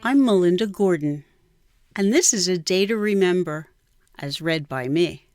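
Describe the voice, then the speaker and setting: A woman reading her own rhyming poem in a measured, rhythmic manner. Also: fairly low pitch, lively intonation, darkish tone, soft background music. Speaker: writer reading own work.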